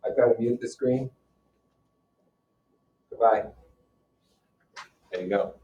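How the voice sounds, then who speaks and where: A man's voice: mid-range voice, slow, warm tone, a little hiss or hum. A man teaching a class, reading a novel aloud and breaking off to explain it informally and put questions to his listeners. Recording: dull, lo-fi audio.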